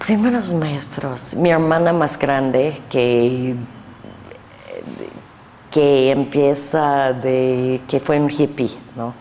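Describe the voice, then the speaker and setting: An older American woman with a native English accent, answering interview questions in halting Spanish mixed with English. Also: rumbling low, mellow. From United States. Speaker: guest artist. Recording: long reverb, faint noise.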